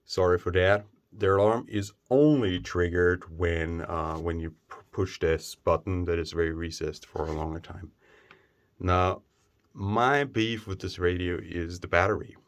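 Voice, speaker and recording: A man giving a casual, unscripted product review, speaking in a plain, opinionated way with halting phrasing. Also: low-pitched, steady tone, heavy background noise. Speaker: gear review presenter.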